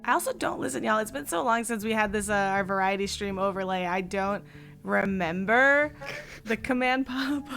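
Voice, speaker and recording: A woman hosting a casual livestream chat, her voice hoarse after losing it, giggling and chatting to her viewers. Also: medium pitch, a little slow, a bit bright, faint music behind. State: hoarse, partly lost voice. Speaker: streamer host.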